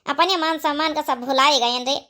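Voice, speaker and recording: A woman's voice: high-pitched, steady tone, moderate pace, sharp tone. A woman playing a domineering, grumbling older village mother-in-law in an Awadhi cartoon comedy, with an exclamatory manner. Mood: remorseful. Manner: scolding, exclamatory.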